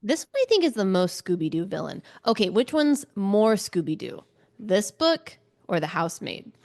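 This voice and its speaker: A woman's voice: mid-range voice, very animated, moderate pace, a little mellow. A woman co-hosting a book podcast, chatting casually and expressively, readily slipping into quoted lines. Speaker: book club podcast co-host. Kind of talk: casual book discussion chat.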